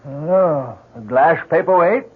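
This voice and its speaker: Husky, laconic village shopkeeper. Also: male voice, on the high side, slow. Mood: guarded, matter-of-fact. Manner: terse, folksy, clipped yeps and nopes. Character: laconic, folksy small-town New England antique shopkeeper. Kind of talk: 1970s radio drama acting. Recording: muffled lo-fi 1970s radio broadcast.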